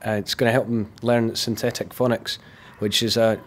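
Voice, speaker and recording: A man, a team leader, explaining his educational game in a steady, informative way. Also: on the low side, moderate pace. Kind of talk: casual interview answers.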